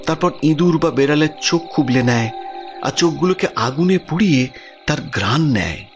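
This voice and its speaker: An actor playing a worried village man in an audio drama, earnest and expressive as he talks. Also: fairly high pitch, moderate pace, faint music behind, close to an everyday voice. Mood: troubled, earnest. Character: worried village man recounting his cousin's frightening affliction.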